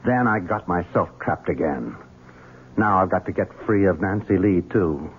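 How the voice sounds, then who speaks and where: A man's voice: sits low, animated, moderate pace, full character voice. An actor playing a cruel, scheming son who mocks his victims in a radio drama. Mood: gloating. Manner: smooth, mocking, sly. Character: scheming sinister grown son, cruel, smug. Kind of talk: 1970s radio drama acting. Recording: phone-like old radio broadcast, faint hiss.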